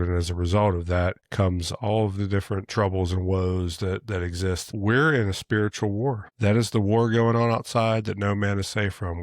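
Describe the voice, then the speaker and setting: A man's voice: deep voice, dry recording, clean studio sound. A man hosting a casual, earnest monologue show, talking in a conversational way with frequent restarts. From United States. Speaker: podcast host.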